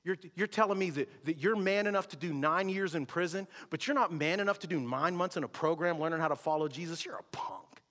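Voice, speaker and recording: A male pastor delivering an emphatic sermon, moving between loud shouted lines and low, quiet asides. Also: a little fast, hardly any pauses. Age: adult with a wife and kids. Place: church sanctuary. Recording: long reverb of a large hall.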